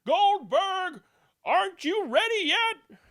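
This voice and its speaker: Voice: extremely high pitch, big pitch swings, slow pace, fairly bright tone, dry recording, clean studio sound, voice pushed up, heavily acted. A reader voicing a grumpy, sleepless rich man with a whiny, demanding manner. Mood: impatient.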